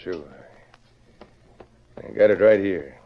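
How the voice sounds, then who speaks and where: An actor playing a sly, gloating murderer in an old radio western, smug, distrustful and taunting. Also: male voice, low-pitched, slow pace, vocal fry, heavily acted. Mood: sly, wary. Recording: muffled, lightly noisy vintage radio recording.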